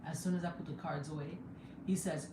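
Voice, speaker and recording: A young woman with a Jamaican accent chatting casually on a home livestream, laughing often and sometimes breaking into excited high exclamations. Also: fairly quick. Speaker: livestreamer and mother. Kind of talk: casual live chat. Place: home room. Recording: echoey room with background noise.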